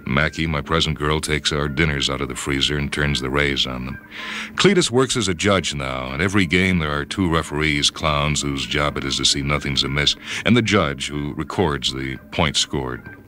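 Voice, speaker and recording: A man reading a short story for radio as a brooding first-person narrator, with dramatic pauses. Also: extremely low pitch, pushed and bright. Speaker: story reader. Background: music and sound cues.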